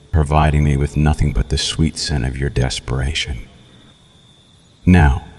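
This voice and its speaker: A man's voice: extremely low pitch, a little mellow. A man playing a cruel, sadistic vampire captor in an ASMR roleplay, menacing and mocking in a theatrical way. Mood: mocking, gloating, then taunting, contemptuous. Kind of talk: ASMR roleplay, scripted villain monologue.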